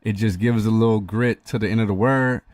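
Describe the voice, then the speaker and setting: A man hosting a music-mixing tutorial, walking through the steps in a relaxed, explanatory way with frequent restarts. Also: lowish voice, brisk. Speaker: host demonstrating music mixing. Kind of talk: casual tutorial walkthrough.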